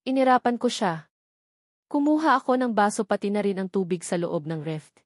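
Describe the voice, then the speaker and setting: Audiobook narrator: woman, Filipino accent, medium pitch, first-person romance storytelling, very little room sound. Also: moderate pace, dry recording, studio quality. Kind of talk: first-person romance novel narration, dialogue read aloud.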